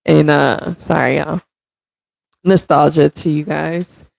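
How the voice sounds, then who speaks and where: Congested, raspy-voiced woman streamer. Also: low voice, fairly even intonation, takes it slowly, a little mellow, no echo. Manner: chatty, addresses viewers directly, reads comments aloud. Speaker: stream host. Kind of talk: casual livestream chat.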